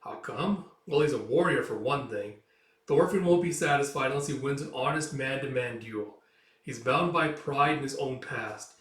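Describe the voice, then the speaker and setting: A man voicing a cunning, middle-aged Viking band leader in a wry, falsely polite, taunting manner, as in an animated saga. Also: mid-range voice, normal speed, lightly acted. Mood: assured. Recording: echoey room, distant from mic.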